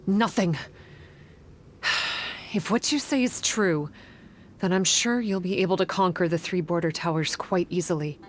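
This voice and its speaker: A woman playing an earnest, guarded young priestess in a video game, speaking in a measured, formal, explanatory way. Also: fairly low pitch, slight background noise, lightly acted. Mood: startled, uneasy. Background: music.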